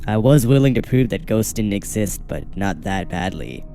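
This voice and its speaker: A man narrating a first-person horror story in a steady, measured, scripted way. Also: fairly low pitch, gravelly. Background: faint music.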